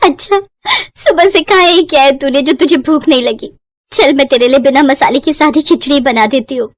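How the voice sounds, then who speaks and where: A woman voicing a poor young village mother, tender but weary, in an expressive cartoon-story manner. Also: sits high, normal speed, no echo, clean studio sound, voice pushed up, natural voice. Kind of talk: Hindi moral-story cartoon dialogue.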